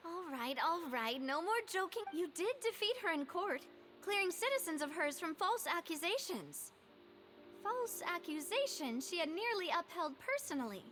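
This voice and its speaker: A confident young lady boss in a video game. The voice is high and very expressive, playful and theatrical yet polite, with music underneath. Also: moderate pace, exaggerated voice. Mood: amused, earnest.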